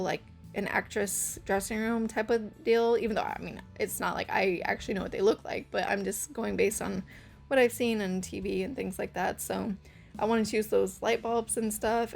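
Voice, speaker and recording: A young woman with a hoarse, scratchy voice, rambling through a casual gameplay voice-over with lots of fillers. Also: medium pitch. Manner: rambling, hesitant, full of fillers. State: hoarse from karaoke. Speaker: channel host.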